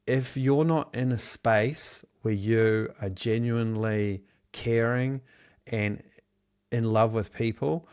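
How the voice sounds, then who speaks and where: A man from New Zealand leading a live online workshop, upbeat and encouraging as he reads out and answers chat replies, heard over a video call. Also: fairly low pitch. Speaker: online business trainer. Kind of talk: live Zoom workshop teaching.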